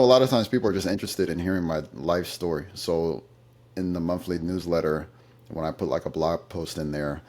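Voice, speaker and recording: A man with a very low, deep voice, answering interview questions at an easy conversational pace. He tells his stories thoughtfully, stopping now and then with fillers as he gathers his thoughts. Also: darkish tone. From United States. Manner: thoughtful storytelling, occasional fillers.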